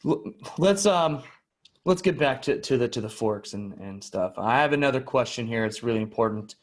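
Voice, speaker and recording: A man plays an earnest male moderator in an improvised comedy debate. He speaks politely and hesitantly, in a medium-pitched voice. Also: expressive, normal speed, natural voice. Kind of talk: improvised mock panel debate.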